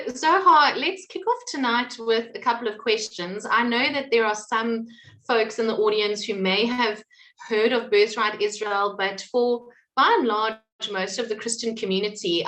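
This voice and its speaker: A woman's voice: on the high side, moderate pace, a bit bright, low-quality recording. A woman hosting an interview, asking friendly, leading questions. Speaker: host.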